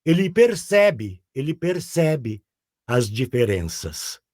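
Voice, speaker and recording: Slow-talking older southern Brazilian man. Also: medium pitch, very animated, darkish tone, no room sound, clean studio sound. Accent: Rio Grande do Sul Portuguese. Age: 60s. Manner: rambling, keeps asking "sabe?". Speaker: guest. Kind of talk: casual interview chat.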